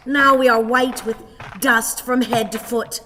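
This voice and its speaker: A woman's voice: on the high side, steady tone, unhurried, pushed and bright, soft background music. A woman acting a weary, querulous, gloomy old wife in a radio play, with theatrical complaint. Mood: agitated, gloomy. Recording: hissy, reverberant hall recording.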